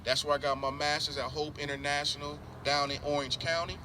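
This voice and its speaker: A man's voice: a little high, flat delivery, a little fast. A middle-aged man from Columbus, Ohio, a school board candidate answering interview questions emphatically, repeating his points and restarting often. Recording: noisy outdoor recording with traffic.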